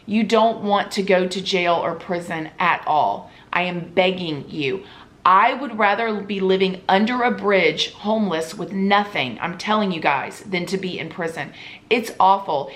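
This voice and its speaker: A young woman with a drawl talks to camera in a casual, chatty way. Her voice is slightly hoarse, as if she is losing it, and she speaks with earnest, pleading sincerity, addressing viewers like close friends. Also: medium pitch, unhurried, a bit bright. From Florida. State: losing her voice, sore throat. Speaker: YouTube vlogger.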